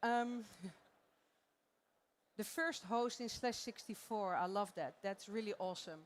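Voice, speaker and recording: A woman's voice: mid-range voice, slow pace, slight background noise. A woman from the Netherlands with a Dutch accent, giving a playful, chatty live conference talk on stage and laughing often. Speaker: conference presenter.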